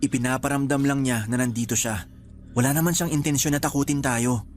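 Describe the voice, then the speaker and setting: An actor playing a caring, apologetic friend who sees spirits, in a Filipino radio drama, gentle and hesitant in manner. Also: male voice, mid-range voice, fairly even intonation, brisk, a little hiss or hum, lightly acted. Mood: reassuring. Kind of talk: Tagalog radio drama acting. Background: background music.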